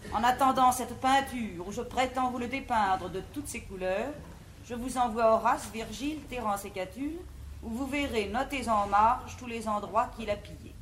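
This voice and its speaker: An actress playing an imperious, haughty learned mother of a bourgeois house, declaiming verse grandly in a classical French stage comedy. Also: high-pitched. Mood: reading aloud. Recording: noisy vintage 1950s theatre recording.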